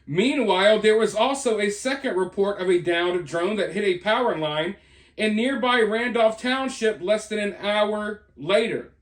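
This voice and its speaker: News commentary host, medium pitch, talks casual but emphatic, echoey room sound. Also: unhurried. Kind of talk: reading a news report with off-the-cuff opinion commentary.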